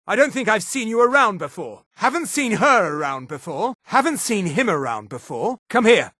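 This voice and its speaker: A man voicing a haughty male high elf officer in a fantasy video game, speaking with disdainful, formal authority. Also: sing-song, normal speed, pushed and bright, no room sound, studio quality, full character voice. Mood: suspicious.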